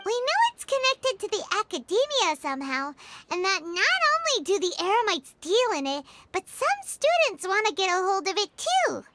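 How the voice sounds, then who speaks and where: A woman voicing a tiny, excitable childlike fairy companion in a video game, with a squeaky, cutesy, exaggerated delivery. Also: moderate pace, slight background noise, heavily acted. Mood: curious, puzzled. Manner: cutesy, exaggerated, speaks of self in third person. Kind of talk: scripted game dialogue. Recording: music behind the voice.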